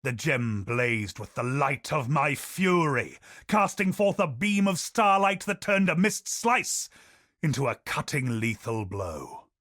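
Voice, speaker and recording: An actor voicing a solemn male chronicler in a game, telling ancient history in a grave, measured way. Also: medium pitch, sing-song, a bit bright, dry recording, studio quality. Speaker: game character narrator. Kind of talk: scripted lore narration.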